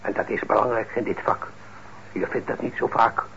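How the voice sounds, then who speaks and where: An actor playing a stern, principled middle-aged father in a radio drama, speaking in a measured, admonishing way. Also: medium pitch, level tone, gravelly, a bit bright. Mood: earnest, admonishing. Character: stern, principled father and craftsman, indignant but fair. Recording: muffled old radio recording, faint noise.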